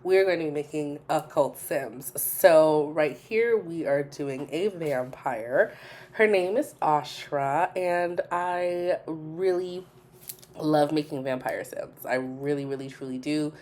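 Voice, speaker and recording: A woman with a low voice hosts a gaming video in a casual, chatty style. She sounds a little nasal and stuffed up from a cold. Her delivery is informal, and she repeats short phrases to stress a point. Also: takes it slowly, a little mellow. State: congested from a cold. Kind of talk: casual chatty voice-over commentary.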